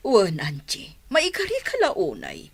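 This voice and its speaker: Slow, swooping elderly mother played by a woman. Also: medium pitch, wildly swooping pitch, gravelly, breathy edge, heavily acted. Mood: fond, approving. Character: elderly mother welcoming her son's wife into her home. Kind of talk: Filipino audio drama acting.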